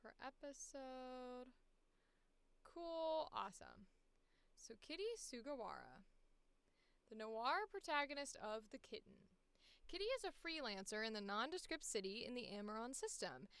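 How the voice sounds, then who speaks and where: A woman's voice: fairly high pitch, very animated, a little slow, a bit bright, clear, resonant tone, no echo. A woman voice actor chatting casually on a livestream, reading casting calls aloud and musing to herself as she goes. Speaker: voice actor streaming auditions.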